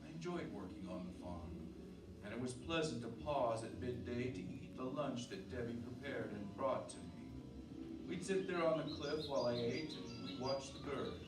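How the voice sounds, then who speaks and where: A man playing a brooding, uneasy married farmhand in an earnest, measured old-time radio drama style. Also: medium pitch, natural voice. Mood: reflective. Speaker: actor, narrator and lead. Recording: roomy, distant mic, clear background noise.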